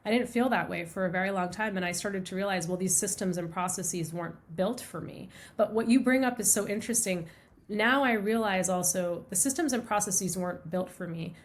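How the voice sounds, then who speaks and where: A middle-aged woman from the United States, a guest author on an interview podcast, telling personal stories in an earnest, reflective way. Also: on the low side. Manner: earnest, reflective storytelling with repeated phrases. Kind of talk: conversational interview.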